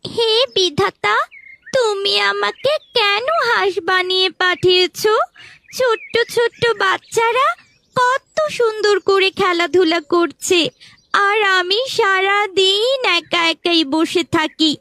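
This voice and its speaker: High, bright, plaintive little duck girl. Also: slow, full character voice. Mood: sad, lonely. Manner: plaintive, pleading. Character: lonely cursed little duck girl, childlike and earnest. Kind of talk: Bengali cartoon story acting.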